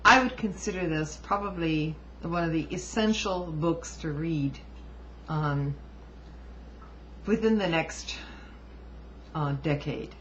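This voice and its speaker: A woman reading aloud and adding informal political commentary in a deliberate, halting way with emphatic asides. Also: low voice. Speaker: amateur book reviewer and commentator. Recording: dull lo-fi home recording, faint noise.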